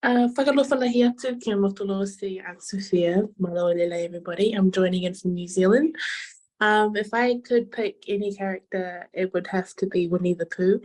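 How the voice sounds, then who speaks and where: A woman's voice: mid-range voice, moderate pace, a little mellow, rough recording. A woman giving an informal self-introduction as a meeting participant.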